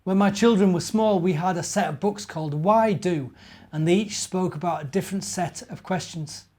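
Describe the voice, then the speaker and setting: Middle aged guy, slightly high voice, chatting gently to little kids like storytime, asks them questions. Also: moderate pace. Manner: gently questioning, addresses young listeners directly. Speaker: minister reading children's stories. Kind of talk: children's storytelling and gentle chat.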